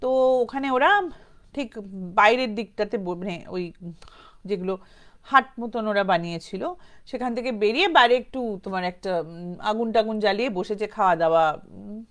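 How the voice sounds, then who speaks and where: A woman's voice: medium pitch, normal speed, pauses often. A woman tells a ghost story informally, in a rambling conversational way with English words mixed in. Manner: conversational, rambling, mixes in English words, acts out quotes lightly.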